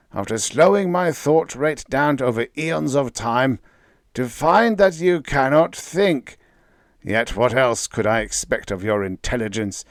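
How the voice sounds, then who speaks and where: A man's voice: mid-range voice, no echo, clean studio sound, pitched above the performer's own voice. A man voicing an ancient, arrogant supreme intelligence that commands and roars threats. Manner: pompous, commanding, roaring. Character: booming arrogant cosmic intelligence, ancient, furious.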